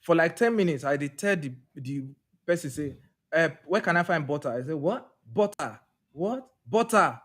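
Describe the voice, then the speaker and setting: A man co-hosting a live podcast, telling stories in animated, repetitive bursts. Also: fairly high pitch, moderate pace. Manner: animated storyteller, repeats words. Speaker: podcast co-host. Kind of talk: casual chat, live podcast.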